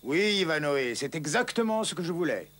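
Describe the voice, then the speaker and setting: Cartoon knightly king, fairly high pitch, authoritative, declamatory, resolute. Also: very animated, normal speed, a bit bright. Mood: calm, resolute. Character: commanding, resolute royal leader of knights. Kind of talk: dubbed cartoon acting.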